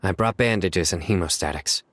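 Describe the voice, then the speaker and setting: An actor playing a stern, dutiful but considerate commanding officer in a video game, with a serious, matter-of-fact delivery. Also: male voice, deep voice, moderate pace, a bit bright, soft background music. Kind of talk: video game character acting.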